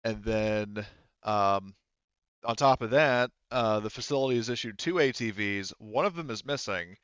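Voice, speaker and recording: A man's voice: lowish voice, pushed and bright, clean tone, dry recording, dull audio. A man narrating a tabletop game as game master in a conversational, explanatory way.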